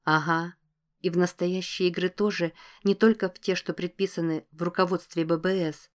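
A guarded teenage girl as a woman reader plays her, careful and emotional. Her audiobook lines come in a fairly low, level voice, as if slowly opening up. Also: little pitch movement, lightly acted. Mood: guarded, nostalgic. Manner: careful, slow to choose words, emotional. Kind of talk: audiobook character dialogue.

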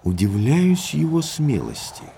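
An actor playing a forthright, impulsive gentleman baronet who talks commandingly in an audio drama. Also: fairly high pitch, wildly swooping pitch, a little slow, vocal fry, a little hiss or hum, exaggerated voice. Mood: resolute. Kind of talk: Russian audio drama acting.

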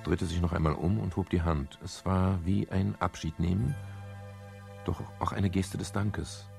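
A world-weary, tender male private detective in a 1970s German radio crime drama. His voice is very low with a gravelly edge. He speaks in a measured, reflective way, with music behind him. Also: fairly even intonation, a little mellow, exaggerated voice.

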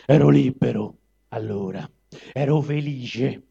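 A man's voice: medium pitch, slow, vocal fry, mellow, husky, heavily acted. A man voicing a proud, bitter caged lion in dramatic dialect verse, grumbling and declaiming with a Roman accent. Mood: aggrieved. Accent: Roman Italian dialect. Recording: dull lo-fi live recording.

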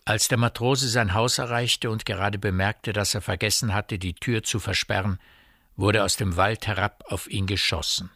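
Narrator: precise and measured storyteller, lowish bright voice, scripted radio drama. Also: male voice, steady tone, no room sound, studio quality. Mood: measured, suspenseful. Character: measured storyteller of a tense village crime story.

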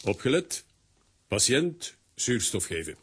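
A man's voice: medium pitch, very animated, takes it slowly, fairly bright tone, breathy edge, heavily acted. A man playing a bossy, earnest makeshift surgeon in a children's audio drama, giving clipped commands and muttering as he works. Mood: bossy, concentrating.

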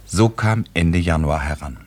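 A man narrating a literary radio play as a composed, dryly ironic storyteller, with a husky voice in measured delivery. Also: a little mellow. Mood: composed, wry. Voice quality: very deep, husky. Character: wry, composed male storyteller of a literary novel.

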